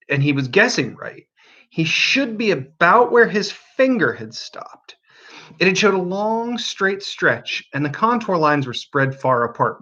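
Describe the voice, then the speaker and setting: A man reading a novel aloud as an expressive narrator, with deliberate, dramatic pauses and emphasis. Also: high-pitched, muffled recording.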